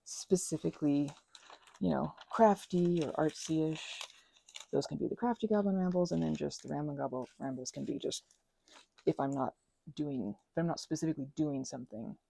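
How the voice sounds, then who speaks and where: Husky-voiced woman rambling while crafting. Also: sits low, moderate pace, mellow. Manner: rambling, chatty, many fillers and restarts. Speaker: ASMR and audio creator.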